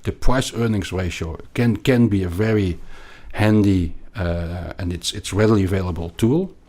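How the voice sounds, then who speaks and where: A man with a Belgian accent explaining things as an interview guest, haltingly and emphatically, often repeating words. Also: sits low, warm tone. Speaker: podcast guest, investment analyst.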